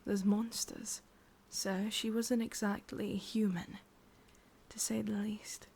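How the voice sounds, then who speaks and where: A woman with an English accent voicing a sorrowful, obsessive witch in an intimate ASMR roleplay, speaking softly in halting, confiding whispers. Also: medium pitch, level tone, heavily acted. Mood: wistful, confiding. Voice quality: soft, breathy, mostly whispered. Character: sorrowful, obsessive female witch, tender yet menacing.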